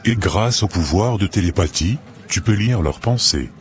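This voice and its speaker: A man's voice: deep voice, expressive, normal speed, a little hiss or hum. A man voicing a commanding, menacing evil occult master in a cartoon. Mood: scheming. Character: commanding male evil occult master scheming to destroy church members. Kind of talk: dramatic animated dialogue.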